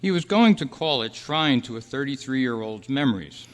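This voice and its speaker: A man acting in a staged radio drama, with earnest, measured storytelling. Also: medium pitch, lively intonation, lightly acted. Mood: wistful, earnest. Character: Roy, dead thirty-three-year-old man's wistful, peaceful spirit. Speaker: actor and announcer. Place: live club meeting in a hall. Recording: echoey hall with long reverb.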